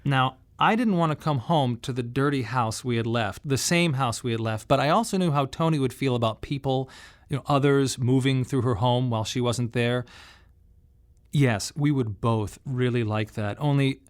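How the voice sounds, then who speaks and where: A man delivering scripted dramatic narration in a radio monodrama, reflective and measured, with emphatic pauses. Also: mid-range voice. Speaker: playwright performing his autobiographical monologue.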